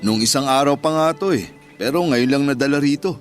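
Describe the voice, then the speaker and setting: A man's voice: medium pitch, brisk, faint music behind, natural voice. An actor playing a chummy, joking male office workmate with a Filipino accent, bantering colloquially in a radio drama. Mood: friendly, joking.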